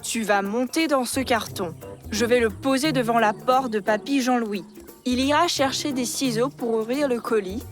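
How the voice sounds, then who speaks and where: A woman's voice: on the high side, normal speed, lightly acted. A woman voicing a confident, scheming little boy in a children's cartoon. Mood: bossy, scheming. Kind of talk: animated children's series dubbing. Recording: music behind the voice.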